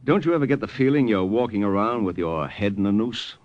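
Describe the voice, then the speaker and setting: An actor with an American accent playing a plain-spoken, uneasy army lieutenant who acts and narrates in an old radio drama. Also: male voice, on the low side, animated, fairly quick, no room sound, close to an everyday voice. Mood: curious, direct. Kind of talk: 1940s-50s radio drama acting and narration. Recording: muffled old radio recording with music.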